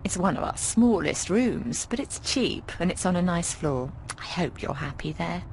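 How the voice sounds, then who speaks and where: A theatrical low-voiced landlady. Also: expressive, moderate pace, vocal fry, a little mellow, a little hiss or hum, poor audio. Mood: wry, teasing. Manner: theatrical, drawling, calls people darling. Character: worldly, teasing middle-aged landlady, breezy and wry. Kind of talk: video game dialogue acting.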